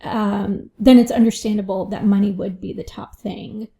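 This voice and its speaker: A woman's voice: medium pitch, steady tone, normal speed, creaky, warm tone. A woman financial advisor answering interview questions in a reflective way, often adding "you know" and "right?".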